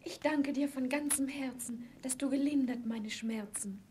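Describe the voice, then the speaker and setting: A woman's voice: sits high, normal speed, dark tone, husky. A woman playing a needy, shivering small child in a fairy-tale audio play, speaking plaintively in rhyme. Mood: grateful. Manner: plaintive, rhyming.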